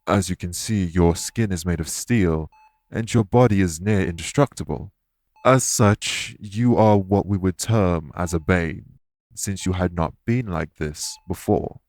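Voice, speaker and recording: A man playing a solemn, guilt-ridden fae in an audio roleplay, speaking formally and earnestly in measured, deliberate phrases. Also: deep voice, darkish tone, no room sound. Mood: grave, explanatory. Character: solemn, guilt-ridden male fae explaining magic to a patient. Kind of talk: audio roleplay acting, addressed to listener.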